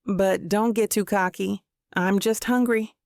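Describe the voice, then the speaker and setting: A woman's voice: mid-range voice, fairly even intonation, measured pace, creaky, no room sound. A woman voicing a guarded, self-possessed heroine with dry wit in an audiobook. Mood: wry. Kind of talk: audiobook character dialogue.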